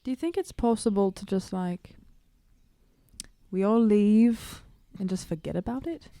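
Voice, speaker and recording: A woman's voice: mid-range voice, lively intonation, measured pace, mellow, dry recording, studio quality. A woman playing a weary, homesick young demigod student in improvised tabletop roleplay, casual and hesitant with a sarcastic edge. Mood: uneasy.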